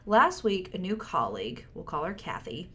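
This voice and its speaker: A woman's voice: lowish voice, very animated, normal speed, fairly bright tone. A woman co-hosting a casual podcast, reading an online post aloud and adding wry asides. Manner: wry, reads with side comments. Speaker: podcast co-host.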